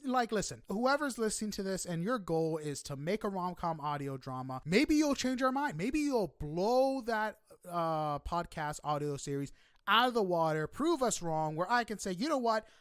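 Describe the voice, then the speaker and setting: A man hosting a podcast, chatting casually with a guest in an animated, emphatic and informal way. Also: high-pitched, moderate pace, smooth, dry recording, clean studio sound. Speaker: podcast host interviewing guests. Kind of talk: casual interview chat.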